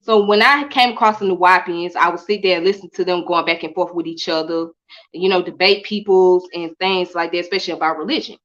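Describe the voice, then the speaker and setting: Low-voiced woman telling her story. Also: fairly quick, highs cut off. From Midwest-born, raised in Atlanta. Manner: repeats herself, relaxed, colloquial. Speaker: guest. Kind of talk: casual interview storytelling.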